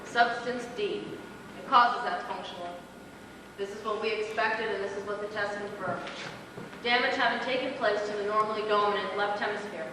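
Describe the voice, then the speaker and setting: A woman on stage playing a cool, authoritative police psychologist, explaining in a clinical, measured way. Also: mid-range voice, fairly even intonation, close to an everyday voice. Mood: clinical, explanatory. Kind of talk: live amateur stage play acting. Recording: echoey hall from far off, noisy.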